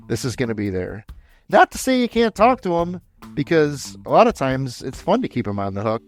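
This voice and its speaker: Man hosting a casual podcast, music underneath. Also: medium pitch. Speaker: podcast host. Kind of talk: casual podcast commentary on scam stories, partly read aloud.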